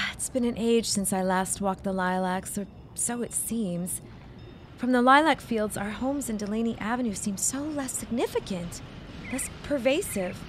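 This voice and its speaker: Faint background noise surrounds a refined, somewhat high-voiced grown daughter acted by a woman in a period audio drama. She is genteel and formal, wistful but haughty. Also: unhurried, natural voice.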